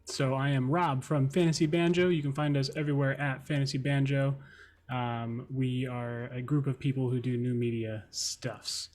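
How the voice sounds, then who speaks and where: A man's voice: medium pitch, fairly even intonation, normal speed, darkish tone, slight background noise. A man player introducing himself and his fighter character on a tabletop stream, with playful wording. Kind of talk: self-introduction.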